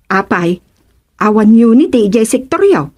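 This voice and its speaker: A woman's voice: a little high, very animated, a little slow, darkish tone, slightly rough, full character voice. A woman with a Filipino accent playing a proud, emphatic village councilwoman in a radio comedy-drama. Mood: proud, teasing. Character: village councilwoman, proud of her neighbourhood's unity.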